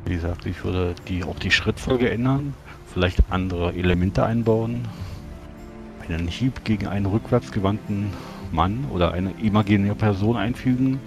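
A man's voice: on the low side, moderate pace. A man describing his character's actions as a player in a tabletop roleplaying game. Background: music.

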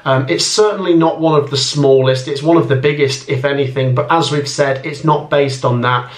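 A man's voice: medium pitch, steady tone, moderate pace, reverberant. A young man in his twenties giving a casual football ranking commentary, chatty and thinking aloud with plenty of ums, filmed at a desk in a small room at home. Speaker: football YouTuber.

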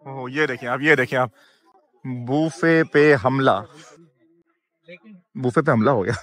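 A man in his thirties with a Pakistani Urdu accent, hosting a casual food vlog outdoors and reacting with excited exclamations. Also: mid-range voice, slow. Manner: excited, exclamatory, chatty with staff. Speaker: food vlogger. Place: outdoor restaurant at dusk.

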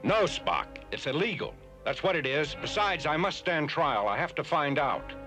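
A man's voice: a little high, sing-song, normal speed, bright, forceful tone, faint music behind. A man playing a stubborn, indignant starship doctor in a cartoon, emphatic and agitated. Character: indignant, stubborn human male ship's doctor. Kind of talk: 1970s TV cartoon acting.

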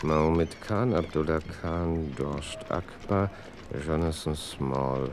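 An actor playing a shrewd, self-assured master detective in a radio play, commanding, wry and deliberate. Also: male voice, extremely low pitch, a little mellow, slight background noise. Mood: confident.

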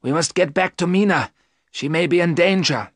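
A man's voice: fairly high pitch, normal speed, a bit bright, no echo, pitched above the performer's own voice. A steadfast old doctor, as a male reader puts him on, speaking in a firm, commanding, slightly raised voice. The recording is dry and close. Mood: urgent.

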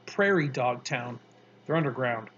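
A man's voice: medium pitch, expressive, unhurried, fairly bright tone, highs cut off, slight background noise. A man voicing an easygoing young boy who answers in short, plain, matter-of-fact lines.

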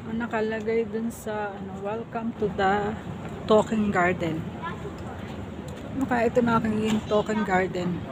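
A woman's voice: medium pitch, fairly even intonation, moderate pace. A Filipino woman narrating a casual vlog outdoors, switching between Tagalog and English. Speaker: vlogger. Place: outdoors in a hilltop garden. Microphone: handheld phone or camera mic. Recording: noisy outdoor handheld recording.

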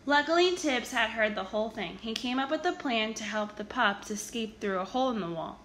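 A woman reads a children's storybook aloud with a high, bright voice. Her narration is expressive and emphatic. Noticeable background noise sits behind her. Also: a little fast. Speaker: storybook reader.

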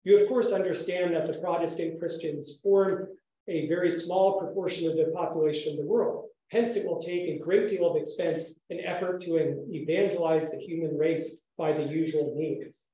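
A man lectures in a very reverberant room. His voice sits fairly high for a male speaker and has a soft, mellow tone. He speaks formally and with measured articulation, as at an academic conference. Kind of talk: academic conference talk with read-out quotations. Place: echoey indoor room, speaker standing while presenting. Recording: very echoey room sound.

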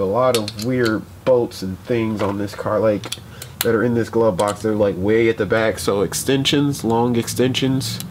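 A young man narrates a car repair in a loose, rambling way, full of ums and restarts. His voice sits at a middling pitch. He is picked up by a camera mic outdoors at night while he works, with a faint hiss of background noise. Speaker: DIY car repair vlogger. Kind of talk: casual how-to narration while working. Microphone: camera mic, moves around while working.

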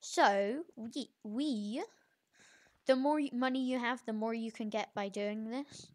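A young video creator with an informal, halting, self-correcting way of talking, giving a casual game tutorial. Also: mid-range voice. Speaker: gaming video creator, host.

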